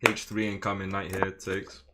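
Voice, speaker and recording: A man streaming live chess, talking through his moves in a casual, slangy, excitable way and chatting with viewers. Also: low-pitched, moderate pace, very noisy. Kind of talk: casual live game commentary.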